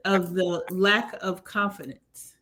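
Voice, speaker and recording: A woman's voice: fairly low pitch, steady tone, slow, fairly bright tone. A woman hosting a podcast, speaking frankly and conversationally as she shares her own experience. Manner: frank, conversational, agreeing and sharing. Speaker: podcast host. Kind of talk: casual chat.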